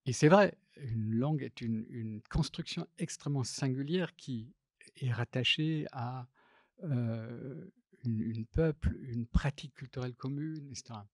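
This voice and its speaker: A man whose first language is not French, giving a public talk as a visual artist. He speaks in a halting, reflective way, with many fillers and restarts. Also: mid-range voice, no room sound, studio quality. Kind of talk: public talk, telling an anecdote.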